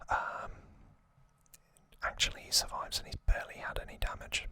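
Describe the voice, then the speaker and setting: A man hosting ASMR in a breathy, almost entirely unvoiced whisper, speaking gently and unhurriedly to his viewers. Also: normal speed. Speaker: ASMR host.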